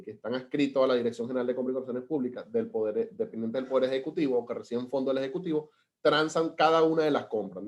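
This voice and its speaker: Venezuelan male presenter, webinar on a video call, halting delivery with frequent restarts. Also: mid-range voice, a little mellow. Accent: Venezuelan Spanish. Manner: hesitant, many fillers and restarts. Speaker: government data analyst presenting.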